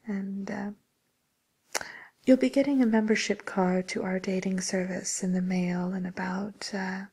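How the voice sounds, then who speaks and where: A woman playing a polite, tactful matchmaker for villains in an ASMR roleplay, with a soft, whispery voice and hesitant, courteous service patter. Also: medium pitch, little pitch movement, unhurried, breathy edge. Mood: helpful, courteous.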